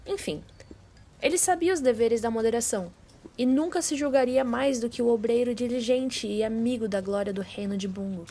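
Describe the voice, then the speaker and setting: A woman's voice: on the high side, mellow. A teenage girl with a Brazilian accent reading scripted narration for a literary radio drama. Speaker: student narrator. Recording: amateur recording with background noise.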